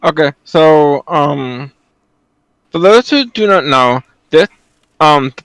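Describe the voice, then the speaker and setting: A man's voice: on the high side, expressive, slow, a bit bright, smooth, no room sound. A man giving casual gaming commentary as a reacting YouTuber.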